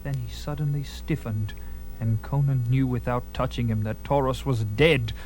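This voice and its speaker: A man's voice: medium pitch, steady tone, moderate pace, a little mellow, low-quality recording. A man narrating a fantasy adventure in a theatrical, suspenseful and emphatic style, with music behind him.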